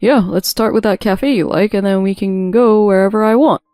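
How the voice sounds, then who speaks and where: A woman's voice: lowish voice, fast talker, dark tone, clear, resonant tone, no echo, clean studio sound, faint music behind, natural voice. A woman voicing a self-centred, evasive and dismissive husband in a scripted audio story. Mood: smitten, eager.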